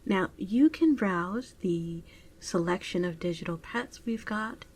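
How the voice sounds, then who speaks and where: A woman playing a polite, genteel pet shop saleswoman in a soft-spoken ASMR roleplay, measured and often near a whisper. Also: mid-range voice, close to an everyday voice. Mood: helpful, guiding. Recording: clearly audible ambient background noise.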